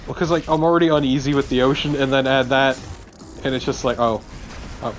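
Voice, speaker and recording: Wry man, mid-pitched voice. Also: steady tone, a little fast, a little hiss or hum. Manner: wry, sweary. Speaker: let's play co-commentator. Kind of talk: casual chat over gameplay.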